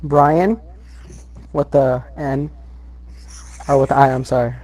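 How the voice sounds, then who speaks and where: A man's voice: mid-range voice, fairly even intonation, slow pace, a little mellow. A young man making a casual prank phone call, slangy and feigning earnestness.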